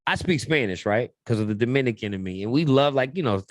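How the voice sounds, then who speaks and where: Guy hosting a podcast, slangy, animated, dry close mic. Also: mid-range voice, quick pace, no echo. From born in New York, raised in Pittsburgh. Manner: animated storytelling, slangy, acts out quotes loudly. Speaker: podcast host, radio and TV personality. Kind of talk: casual podcast chat.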